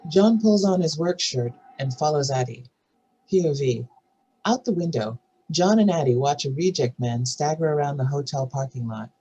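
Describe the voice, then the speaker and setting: A woman's voice: low voice, lively intonation, moderate pace, dark tone, lo-fi sound, a little hiss or hum. A woman reading stage directions as scripted narration for a drama table read.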